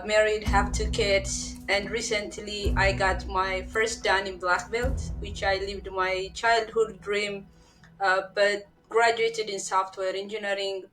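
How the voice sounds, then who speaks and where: An earnest woman from Ethiopia whose English carries a clear Ethiopian accent. She speaks as a guest, often repeating words to stress a point. Her voice sits at an ordinary pitch for a woman, with music playing clearly behind her. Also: flat delivery, a little slow, fairly bright tone, poor audio. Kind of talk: podcast interview, personal story.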